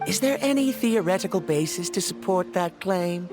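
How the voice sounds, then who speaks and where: A man's voice: sits high, lively intonation, normal speed. An actor voicing an earnest young male scholar who talks in a serious, precise and businesslike way, in a video game. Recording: game audio with music behind.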